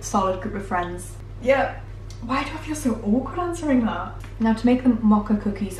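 A British woman in her twenties talks casually to camera with a middling pitch and a self-deprecating, conversational manner. She sounds a little away from the microphone in an echoey room, with faint noise behind her. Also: darkish tone. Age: 20s. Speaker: vlogger answering viewer assumptions while baking. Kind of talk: casual chatty vlog to camera. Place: home kitchen, indoors. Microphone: camera mic at a short distance. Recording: roomy, distant mic, faint background noise.

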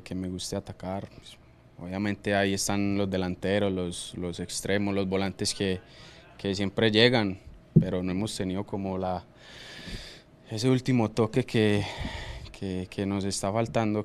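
A man's voice: low-pitched, steady tone, a little slow, smooth. A young man, a soccer player, answering press conference questions with hesitations and repeated words, speaking into a desk microphone. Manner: hesitant, repeats words while searching, laughs easily.

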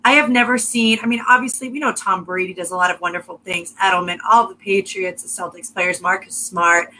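A woman's voice: on the low side, steady tone, brisk, pushed and bright, rough recording. A young woman from Massachusetts giving casual, effusive commentary as a co-host on a video call. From Massachusetts, United States. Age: young adult. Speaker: show co-host. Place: small home room, video call.

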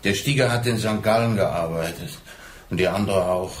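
An actor playing a plain, hesitant old stable hand. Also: male voice, low voice, normal speed, natural voice. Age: 50s. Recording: roomy with faint noise.

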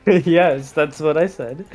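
Slow-talking mocking man in voice chat. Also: on the high side, darkish tone. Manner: mocking, laughing jabs. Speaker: player in voice chat. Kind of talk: casual banter with gamers.

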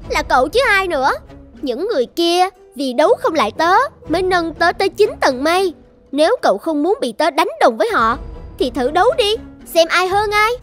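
A woman voicing a haughty, boastful iron jar in an animated fairy tale, talking scornfully. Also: extremely high pitch, moderate pace, soft background music.

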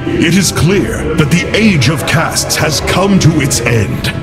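Casting: noble, resolute alien commander, stately slow delivery, game cinematic with loud score. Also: male voice, a little high, lively intonation. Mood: rousing. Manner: grand, deliberate oratory. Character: noble alien warrior leader, solemn and resolute. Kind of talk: dramatic game cinematic acting. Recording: loud music behind.

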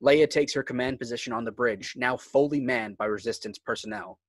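A man's voice: mid-range voice, brisk, no room sound. A man reading screenplay stage directions as dramatic scripted narration.

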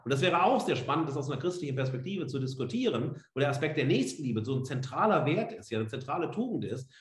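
A middle-aged man giving an academic lecture online as a professor, emphatic, with rhetorical questions and frequent tag words. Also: on the high side. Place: indoor room, on a webcam video feed. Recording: roomy, echoing indoor sound.

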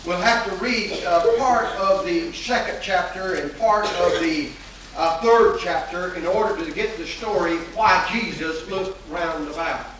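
An older pastor preaches in a folksy, emphatic way, circling back to the same phrase and slipping in filler uhs. His voice sits fairly high. It rings through a large, very echoey sanctuary, caught from a distance on hissy old videotape. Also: a little slow, fairly bright tone. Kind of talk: sermon. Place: large church sanctuary at a pulpit. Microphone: pulpit microphone, far camera. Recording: echoey church hall from far off, hissy 1980s video.

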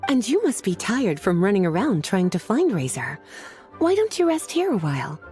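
A woman playing a gentle, teasing young witch and teacher in a video game, soft-voiced and nurturing. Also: medium pitch, sing-song, moderate pace, faint music behind, lightly acted. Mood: fond. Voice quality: velvety, soft. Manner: nurturing, coquettish, leisurely explanatory. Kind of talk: video game character acting.

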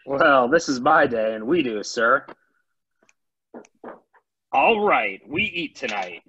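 Man playing a sharp, cheeky cartoon schoolboy. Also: mid-range voice, expressive, slow pace, distant mic, slight background noise. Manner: insolent. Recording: dull lo-fi video call.

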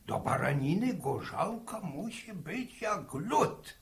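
Old country grandpa in radio play, gravelly, slow, jolly and rambling. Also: male voice, high voice, sing-song, exaggerated voice. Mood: relishing. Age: older. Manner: folksy, halting, repeats himself. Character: jovial old village grandfather, full of sayings. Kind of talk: 1960s Polish radio drama acting.

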